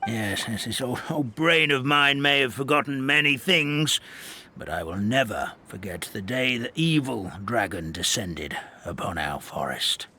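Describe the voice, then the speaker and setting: A man voicing a kindly, forgetful elderly forest creature in a video game, telling stories in a halting, rambling, theatrical way. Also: mid-range voice, expressive, pushed and bright, slight background noise, full character voice. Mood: grave, fearful. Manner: halting, rambling, theatrical storytelling with stammered repeats. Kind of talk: video game character storytelling. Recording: studio voice over background music.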